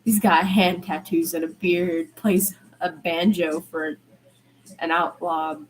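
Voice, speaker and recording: A woman's voice: lowish voice, fairly even intonation, slow pace, a little hiss or hum, poor audio. A woman reading aloud as an awkward, brooding male college student who speaks hesitantly. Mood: resigned, wry.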